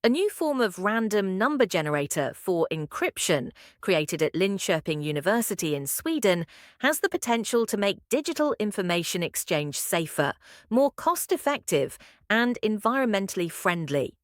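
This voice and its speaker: Woman, scripted read-aloud narration, animated intonation, dry studio-like sound, test-practice narrator. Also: medium pitch, normal speed, dry recording. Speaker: test-practice narrator reading sample passages.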